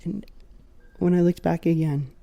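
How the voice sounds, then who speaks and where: Shy, dark-voiced, childlike dreamer. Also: male voice, a little high, steady tone, vocal fry. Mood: wistful wonder. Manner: halting, repetitive, childlike, tender. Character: shy, wistful, childlike male dreamer recalling boyhood wonder. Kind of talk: intimate storytelling monologue.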